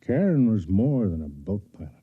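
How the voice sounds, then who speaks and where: An actor playing an old, fat, world-weary space station official who narrates in a measured, deliberate way in a 1950s radio drama. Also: male voice, fairly low pitch, sing-song, husky, narrow, tinny audio. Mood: somber reflection. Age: older. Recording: 1950s radio recording with music.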